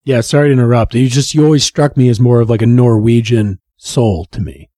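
Man, podcast co-host, husky and dull-toned, hoarse from a cold, close dry mic. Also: medium pitch, mellow, no room sound. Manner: rambling, profane, restarts and drawn-out phrases. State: losing his voice, getting a cold. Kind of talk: casual podcast chat.

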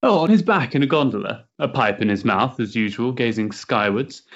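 An actor playing a restless, sensitive young Victorian gentleman in a stage play, hesitant and politely mannered. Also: mid-range voice, animated, normal speed, creaky, muffled recording. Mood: flustered, polite. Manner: hesitant, polite, mannered upper-class diction. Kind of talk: period stage-play acting.

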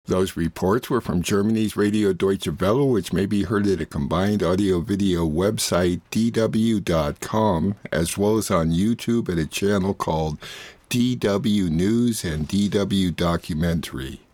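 A man's voice: deep voice, a little slow, a little mellow, no echo. A man hosting a radio programme, reading scripted links and summaries. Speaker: programme host.

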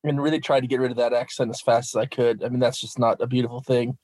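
A middle-aged man from Minnesota with an American Midwest accent, telling his story as a guest on an interview podcast in a lively, self-deprecating way. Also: mid-range voice, fast, no room sound. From Minnesota, USA. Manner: animated, self-deprecating, lots of fillers. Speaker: vicar and guest.

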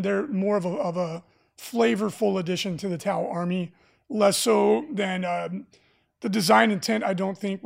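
A raspy-voiced man hosting a casual live stream for a game store, talking enthusiastically with lots of fillers. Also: high-pitched. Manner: enthusiastic, rambling with many fillers. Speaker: livestream host for a game store.

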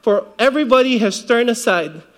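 A man's voice: very high voice, expressive, a little fast. A man in his forties from the Philippines, preaching a sermon in a conversational way with light asides and laughs. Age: 40s. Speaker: pastor.

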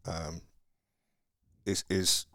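Deep-voiced Welsh man, rambling. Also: little pitch movement, bright, forceful tone, no echo, clean studio sound. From Wales. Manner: rambling, halting, frequent restarts. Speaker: car salesman guest. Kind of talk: casual conversation.

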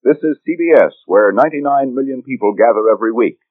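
A man announcing a 1940s radio programme in a formal, measured, scripted style. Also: medium pitch, animated, no echo. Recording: dry, tinny 1940s radio broadcast.

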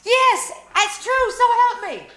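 A woman acts out a scheming, exasperated sitcom wife with a very high, bright voice. She is theatrical and peppy in old-time radio comedy style. The sound is roomy, from a live performance. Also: big pitch swings, takes it slowly, heavily acted. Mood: indignant, gossipy. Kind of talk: 1940s radio sitcom acting. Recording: roomy live stage recording, faint noise.

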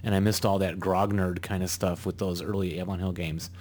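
A man hosting a casual podcast interview, easygoing and quick to laugh along. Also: deep voice, flat delivery, darkish tone, slight background noise. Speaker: podcast host.

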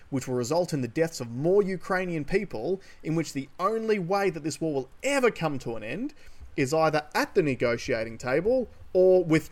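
Male podcast co-host, slightly high-pitched, opinionated, casual and emphatic.

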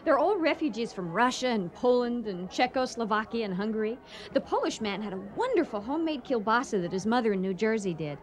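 An actress playing a proud, competitive, easily stung chef in a comedic radio drama, pointed and sarcastic. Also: female voice, a little high, very animated, a little fast. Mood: hopeful.

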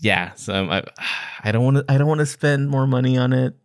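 A man's voice: mid-range voice, a little fast, no echo, studio quality. A man co-hosting a casual podcast chat about books in a playful, teasing way. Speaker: podcast co-host. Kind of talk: casual book-review chat.

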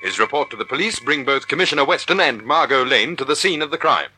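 A man's voice: mid-range voice, animated, fast talker, bright, forceful tone, slight background noise. A male announcer reading scripted narration in 1940s radio style. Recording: old radio broadcast recording. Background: music.

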